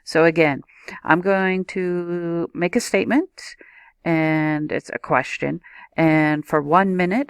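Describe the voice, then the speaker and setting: Woman, low voice, video lecturer, steady and explanatory, dry recording. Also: vocal fry, no room sound. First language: not a native Japanese speaker. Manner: explanatory, methodical, addresses viewer directly. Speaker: teacher.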